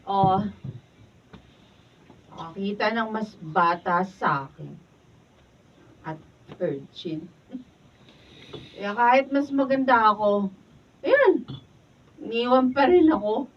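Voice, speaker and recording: An actress playing a bitter, materialistic young escort in a Filipino radio drama. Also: female voice, medium pitch, animated, takes it slowly, long pauses. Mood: drunken, dejected. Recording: dull, distant room recording, faint noise.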